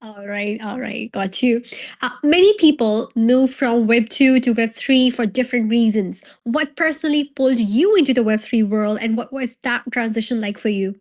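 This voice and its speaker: A woman hosts a channel's interview and puts questions to her guest one by one. Her voice is fairly high, and between answers she chimes in with brief encouraging remarks. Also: moderate pace. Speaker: host.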